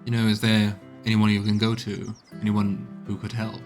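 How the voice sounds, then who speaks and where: A man with a fairly low voice gives let's play commentary. He muses aloud in short reactions and slips into role-play lines. Soft game music runs underneath his close mic. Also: monotone, normal speed. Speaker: streamer playing a game.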